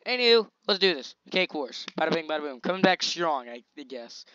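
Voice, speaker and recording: A young man hosting his own gaming channel, commentating gameplay in a chatty, slangy, excitable way, his voice a little scratchy. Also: fairly high pitch, a little fast, clear, resonant tone, dry recording, lo-fi sound. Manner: excitable, chatty, slangy hype while playing. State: slightly scratchy voice.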